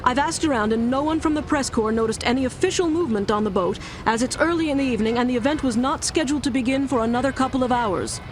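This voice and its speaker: A woman's voice: high voice, fast talker, hardly any pauses, natural voice. An actress playing an earnest female TV news reporter, speaking formally and seriously as in a live broadcast. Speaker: field reporter. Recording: faint outdoor background noise.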